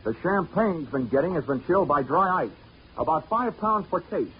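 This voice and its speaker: A man's voice: on the high side, expressive, moderate pace, a little hiss or hum, natural voice. Man playing a hard-boiled radio detective, muffled recording. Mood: brisk, urgent. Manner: dry, clipped, wry. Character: wisecracking, hard-boiled male insurance investigator. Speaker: lead actor and narrator. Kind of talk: 1940s radio detective acting, first-person narration. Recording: dull old radio recording, loud music.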